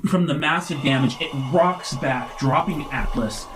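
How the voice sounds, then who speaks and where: A man running a tabletop game, narrating dramatically and descriptively, with pauses as he searches for words. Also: on the high side, background noise. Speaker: game master. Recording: music behind the voice. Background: music.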